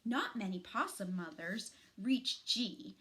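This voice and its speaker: A middle-aged woman reading a children's storybook aloud in an expressive, dramatic, deliberate way. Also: medium pitch. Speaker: teacher reading aloud to students. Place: small furnished room at home, indoors.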